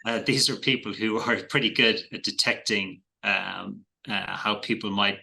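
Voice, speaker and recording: Bright-voiced Irish man, lowish pitch. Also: little pitch movement, moderate pace, creaky, low-quality recording. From Ireland. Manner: thoughtful, repeats words while finding phrasing, light laughs. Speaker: investment guest. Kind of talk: casual interview chat.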